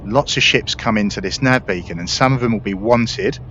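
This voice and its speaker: A man's voice: on the low side, a little fast, fairly bright tone. A man giving casual, explanatory gameplay commentary in a conversational way. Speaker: gaming video creator. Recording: muffled mic with faint noise.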